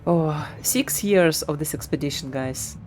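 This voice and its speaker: A woman's voice: sits low, a little slow. A woman game streamer casually reacting to gameplay and reading game text aloud. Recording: game music behind the voice.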